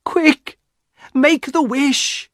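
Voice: high voice, fairly even intonation, extremely slow, no room sound, clean studio sound, pitched above the performer's own voice. A narrator voicing a grieving old mother in an audiobook, pleading urgently and insistently. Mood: insistent, desperate. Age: older. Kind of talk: audiobook character acting.

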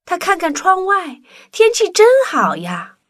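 A woman's voice: extremely high pitch, wildly swooping pitch, a little slow, a bit bright. A woman reading scripted narration for a children's story.

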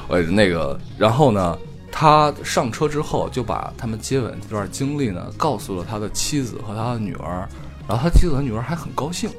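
A man's voice: on the low side, big pitch swings, a little fast, over music. A man in his thirties, a podcast co-host, chatting casually and rambling with lots of fillers and restarts. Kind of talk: casual chat.

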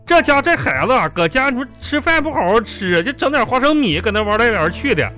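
Blustering Northeastern boss, swinging pitch. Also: male voice, very animated, a little fast, pushed and bright, full character voice. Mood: grumbling. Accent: Northeastern Mandarin. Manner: grumbling, exclamatory, self-important. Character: blustering, stingy, scheming male boss of a small eatery. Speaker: comedy radio show performer. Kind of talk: comic radio drama acting. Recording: music playing behind the voice.

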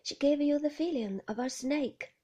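A woman's voice: high-pitched, normal speed, warm tone, voice pushed up, natural voice. A woman voicing a simple-minded, fearful older man who speaks in broken rustic grammar, lifting her whisper into a voiced, pleading tone. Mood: secretive. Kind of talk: whispered audiobook reading, voiced aloud for the figure.

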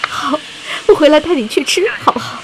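A woman playing a tender young mother heading into space in an audio drama, gentle and earnest. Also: high voice, a little fast, natural voice. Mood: tender, coaxing. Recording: clearly noisy ambience.

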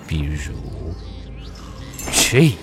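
An actor playing a pompous, theatrical young villain boss in red robes, making grandiose speeches in a fantasy radio drama. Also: male voice, extremely slow, music in the background. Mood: showy.

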